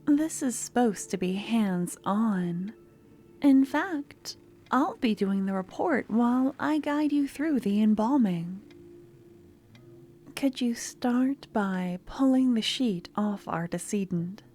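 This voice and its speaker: A woman playing an eager, clingy young student with a secret obsession in an ASMR roleplay, speaking softly and often slipping into breathy whispers. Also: on the high side, lively intonation, takes it slowly. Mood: gentle, instructive. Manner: soft-spoken, often dropping to breathy whispers. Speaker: lab guide teaching embalming.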